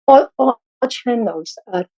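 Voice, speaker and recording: A woman's voice: fairly high pitch, expressive, a little slow, dark tone. A woman whose first language is Chinese, speaking as a podcast guest and often stopping to search for words. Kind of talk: podcast interview. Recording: dull, slightly muffled audio.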